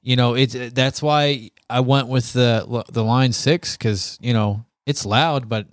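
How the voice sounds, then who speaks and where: A man co-hosting a guitar talk show, chatting informally and haltingly, often repeating himself. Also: mid-range voice, fairly even intonation, dry recording, studio quality. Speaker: co-host.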